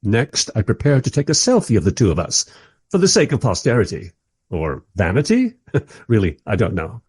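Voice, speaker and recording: An actor playing a mild, polite time-travelling interviewer who narrates his story wryly in a radio play, with a husky voice. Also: fairly low pitch, wildly swooping pitch, moderate pace, darkish tone, heavily acted. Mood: curious. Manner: wry, polite. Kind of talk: first-person radio play narration and dialogue.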